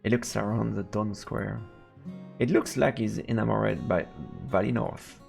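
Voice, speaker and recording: Gravelly French-accented man streaming a game. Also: fairly low pitch, a little slow, darkish tone, soft background music. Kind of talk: live let's play, reading game text aloud and commenting.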